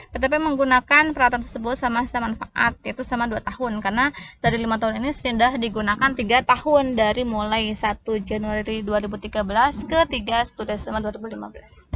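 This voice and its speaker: High-voiced woman tutor, noisy recording. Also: pushed and bright. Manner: conversational, checks in with rhetorical questions. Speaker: accounting tutor. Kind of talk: informal tutorial explanation.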